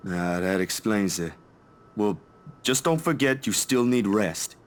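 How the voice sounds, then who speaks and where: A man's voice: on the low side, animated, a little slow. A man playing a caring, protective friend in a visual novel, speaking earnestly and soothingly. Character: caring, protective male friend, calm and concerned.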